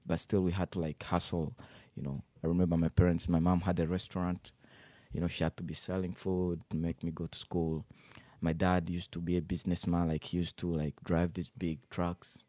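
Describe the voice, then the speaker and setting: A man's voice: sits low, little pitch movement, a little fast, mellow, dry recording. A young man in his twenties from the Congo, raised in Uganda, telling his life story in an interview with many fillers and restarts. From Democratic Republic of the Congo, raised in Uganda. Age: 20s.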